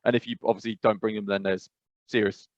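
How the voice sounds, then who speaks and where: A man's voice: lowish voice, flat delivery, quick pace, no room sound. A man from England co-hosting a casual podcast, rambling and hesitant with many restarts. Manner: rambling, hesitant, many fillers and restarts, laughs while talking. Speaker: podcast co-host. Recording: dull dry lo-fi mic.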